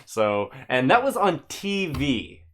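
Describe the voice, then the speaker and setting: A man's voice: on the high side, very animated, slow pace, a bit bright. A man co-hosting a podcast, chatting casually in a joking, exaggerating way. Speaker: podcast co-host. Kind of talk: casual chat.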